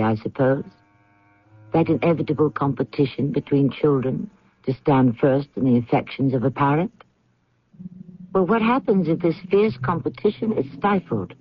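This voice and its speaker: Old dull radio sound, male drama host, husky, very animated intonation, theatrical, deliberate scripted introduction. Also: on the high side.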